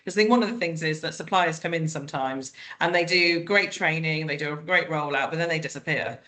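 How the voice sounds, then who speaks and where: A man's voice: a little high, brisk, pushed and bright. A man with a British accent moderating a webinar conversationally, rambling a little as he builds his questions.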